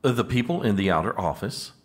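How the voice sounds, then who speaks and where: An actor playing a long-suffering, exasperated political aide with a dry, weary manner in a comedic audio drama. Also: male voice, fairly low pitch, animated, fast talker, natural voice.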